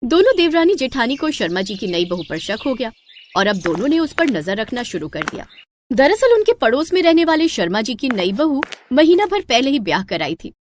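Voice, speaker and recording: Woman, storyteller, scripted moral story narration, somewhat high voice. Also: big pitch swings, normal speed, a little hiss or hum.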